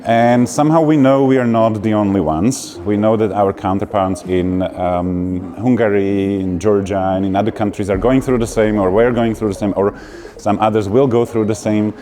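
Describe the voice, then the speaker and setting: A man's voice: on the low side, fairly even intonation, moderate pace, warm tone. A man speaking as a civil society activist in an interview, with music playing behind him. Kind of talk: interview testimonial.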